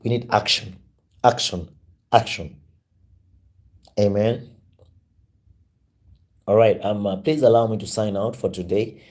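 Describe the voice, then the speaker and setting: A man's voice: on the low side, unhurried, long pauses, darkish tone. A Swahili-native man hosting a livestream, making an impassioned address to viewers and repeating phrases for emphasis. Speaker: livestream host.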